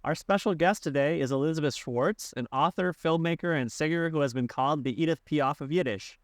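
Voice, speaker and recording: A man co-hosting a podcast, reading a guest introduction in a steady scripted way. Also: fairly high pitch, brisk, no echo, clean studio sound. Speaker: podcast co-host.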